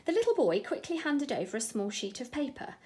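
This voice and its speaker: Female children's storyteller: expressive, higher-pitched, animated intonation. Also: fairly quick, breathy edge. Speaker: teacher reading a bedtime story.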